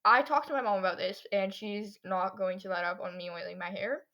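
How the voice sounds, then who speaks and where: A teenage girl hosting her own podcast, chatting in a rambling way with lots of fillers while her voice is going hoarse. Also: medium pitch, fairly quick, bright, forceful tone. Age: teens. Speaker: podcast host. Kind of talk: casual solo podcast chat.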